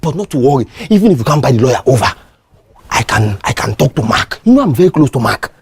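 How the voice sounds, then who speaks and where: A man's voice: mid-range voice, very animated, fast, vocal fry, slightly rough, no room sound, exaggerated voice. A man with a Nigerian accent playing a cowardly, wheedling neighbour in a comedy skit, stammering and repeating himself to placate. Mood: scheming.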